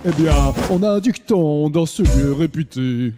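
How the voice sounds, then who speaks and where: A man performs an imposing male demon lord of lust in a cartoon musical. He is theatrical, mocking and flamboyant, taking his time over phrases. Loud music plays behind him. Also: fairly high pitch, measured pace, lightly acted. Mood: taunting, seductive. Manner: theatrical, taunting, grandiose. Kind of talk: sung musical fan-dub performance.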